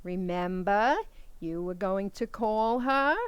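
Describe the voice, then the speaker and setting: A woman's voice: mid-range voice, takes it slowly, clean tone, no echo, natural voice. A woman playing a protective, exasperated adult daughter in an amateur radio play, earnest and pleading. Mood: concerned.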